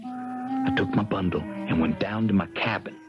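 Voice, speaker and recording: A man's voice: fairly low pitch, huge pitch swings, unhurried, over music, heavily acted. An actor playing an earnest, helpful young American man telling his adventure in a folksy way in a 1970s radio drama. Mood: reflective storytelling. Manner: folksy storytelling. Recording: dull vintage radio broadcast.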